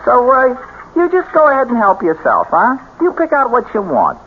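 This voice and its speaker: A man's voice: very high voice, huge pitch swings, moderate pace, heavily acted. An actor in a radio drama playing a nervous, folksy male shopkeeper who hesitates and repeats himself. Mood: nervously helpful. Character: nervous, chatty male shopkeeper, furtive and excitable. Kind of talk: old-time radio drama acting. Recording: dull noisy old radio recording.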